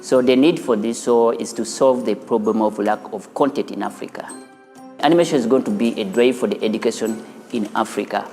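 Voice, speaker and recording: A Ugandan man speaks as a studio founder being interviewed for a news feature. His voice sits fairly low. The sound has a long reverb, with music playing quietly underneath. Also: a little fast.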